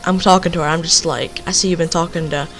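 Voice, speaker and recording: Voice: medium pitch, steady tone, quick pace, a bit bright, faint music behind. A young person telling a casual, rambling story, leaning on filler phrases. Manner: rambling, repeats filler phrases like "basically" and "you know".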